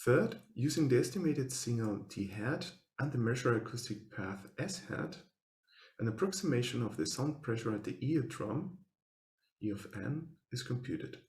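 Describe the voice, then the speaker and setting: A man giving a scripted technical presentation as a researcher, speaking formally and in measured, step-by-step phrases. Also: mid-range voice, animated, darkish tone, roomy sound.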